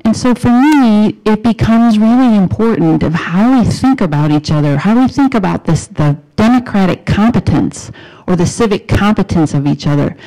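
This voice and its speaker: A middle-aged woman from Wisconsin with a Wisconsin accent, giving an academic talk on a handheld mic in a lecture room, earnest and emphatic, pausing to rephrase. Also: medium pitch, dark tone, poor audio. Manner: earnest, emphatic rising questions, pauses to rephrase. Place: lecture room in front of a projection screen. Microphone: handheld microphone.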